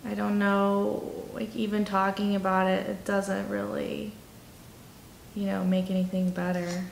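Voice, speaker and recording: A woman of twenty-three speaking candidly and haltingly about personal things in a documentary interview. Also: mid-range voice, little pitch movement, vocal fry, slight background noise. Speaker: documentary interviewee, nursing student. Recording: roomy echo with music behind. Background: instrumental music.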